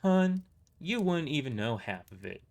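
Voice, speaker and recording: A man's voice: on the high side, sing-song, a little slow, vocal fry, darkish tone, voice pushed up, full character voice. A man voicing a chatty, doting older female receptionist with a folksy rural twang in an audio drama. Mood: folksy, harried. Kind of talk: amateur audio drama character voice.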